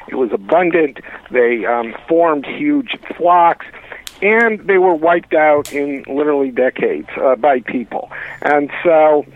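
Man on a thin phone line, higher voice. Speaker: author, guest. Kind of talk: radio interview.

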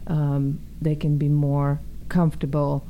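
A woman real estate agent speaking as a guest on a radio talk show, explaining things in a relaxed way with frequent ums. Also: sits low, measured pace, highs cut off, slight background noise. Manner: relaxed, explanatory, filler-laden. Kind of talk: radio interview.

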